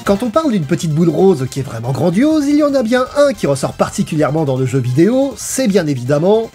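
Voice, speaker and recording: A man's voice: high voice, big pitch swings, fast, warm tone. A man reading a scripted video essay in a playful, theatrical storytelling manner. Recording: voice-over with music behind. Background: background music.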